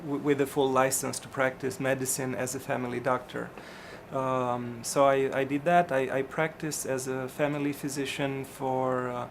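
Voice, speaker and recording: A middle-aged physician from Romania, answering interview questions in a hesitant way, with many ums and restarts. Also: male voice, medium pitch, creaky, slight background noise.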